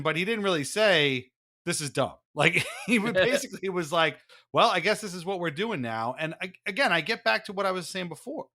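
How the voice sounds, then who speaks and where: Bright-voiced man chatting about sports. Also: high-pitched. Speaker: podcast co-host. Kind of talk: casual sports talk.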